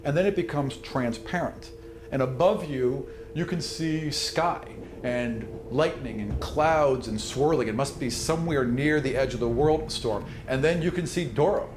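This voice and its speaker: Male game master, dramatic improvised narrator, roomy recording with clear background noise. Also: medium pitch, measured pace. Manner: dramatic storytelling with spoken sound effects. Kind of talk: narrating a tabletop game, improvised scene description.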